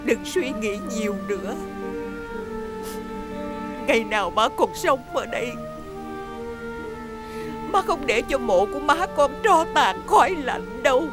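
An actress playing a gentle, self-sacrificing mother who speaks softly and tearfully. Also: fairly high pitch, big pitch swings, unhurried, pauses often. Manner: soft, tearful pleading. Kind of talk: stage drama acting. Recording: music behind the voice.

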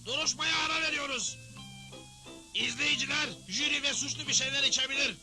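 A man's voice: very high-pitched, fairly even intonation, brisk, music in the background. A man playing a pompous, greedy old frontier judge in a dubbed cartoon, declaiming officiously. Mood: officious, brazen. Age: older.

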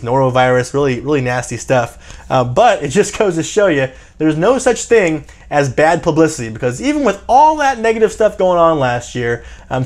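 A man in his thirties, hosting a fast food channel, casually talks through a ranking chart, filling his pauses with many "uh"s and "um"s. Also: fairly high pitch. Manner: chatty, many "uh" and "um" fillers, thinking aloud. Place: indoors, in front of a green screen.

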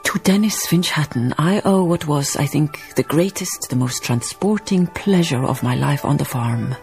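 An actress playing a reflective woman memoirist, narrating a radio drama in a measured, lyrical way, savouring her phrases. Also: sits low, expressive, a little mellow, soft background music. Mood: rapt, nostalgic. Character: reflective, poetic woman memoirist recalling colonial farm life.

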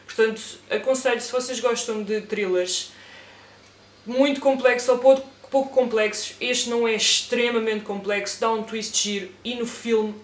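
A woman's voice: on the high side, steady tone, normal speed. Chatty Portuguese woman in echoey room. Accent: European Portuguese. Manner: chatty, hesitant with many fillers and restarts. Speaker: book vlogger. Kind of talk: casual book review. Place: home room with bookshelves. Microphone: desk camera at close range.

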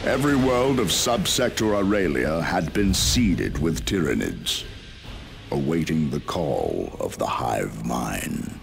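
Ominous game narrator, extremely deep, solemn, slow-paced, grave war storyteller. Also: male voice, big pitch swings, gravelly, soft background music, full character voice.

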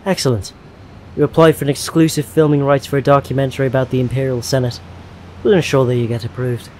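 An actor playing a smooth, secretive male government agent in an audio drama, clipped and coolly insistent. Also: mid-range voice, flat delivery, fast talker, a little mellow, lightly acted. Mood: businesslike, insistent. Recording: noisy city street ambience.

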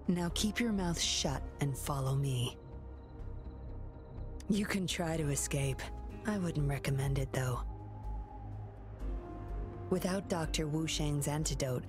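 An actress voicing a cool, dryly mocking young woman who escorts a captive in a game. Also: low voice. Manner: dry, mocking.

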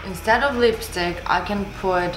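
A woman's voice: mid-range voice, a little slow. A woman in her twenties who is not a native English speaker, chatting casually to the camera as a vlogger in a room. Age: 20s. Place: small room indoors, seated at desk. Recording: roomy echo under background music. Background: background music.

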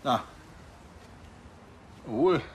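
An actor playing a chatty apartment-block caretaker in a crime comedy, colloquial and rambling, with a Bavarian accent. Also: male voice, drawn-out words, slight background noise. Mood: helpful, gossipy.

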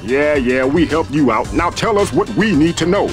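An actress playing an earnest, exasperated young heroic sidekick in a cartoon video game. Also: female voice, low-pitched, lively intonation, moderate pace. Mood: impatient. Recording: loud music behind the voice.

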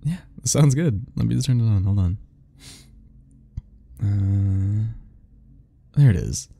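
A man's voice: on the low side, expressive, slow, gravelly, mellow. A young man speaking a playful, teasing ASMR roleplay straight to the listener, laughing often between lines.

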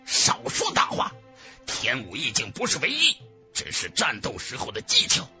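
A man's voice: medium pitch, wildly swooping pitch, moderate pace, sharp tone, pitched below the performer's own voice, exaggerated voice. A man voicing an arrogant, belligerent martial-arts fighter in a fantasy audiobook, boastful and taunting. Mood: scornful challenge. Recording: music bed under the voice.

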